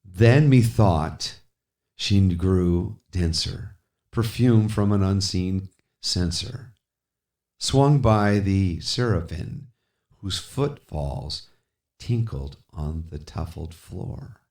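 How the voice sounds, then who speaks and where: A man recites gothic poetry in a low voice. His delivery is theatrical and slow, with phrases stretched out for drama. The recording is strongly echoey. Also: animated, a little mellow, recorded from a distance. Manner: theatrical, deliberate, drawn-out phrasing. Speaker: poetry reader. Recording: echoey, reverberant voice.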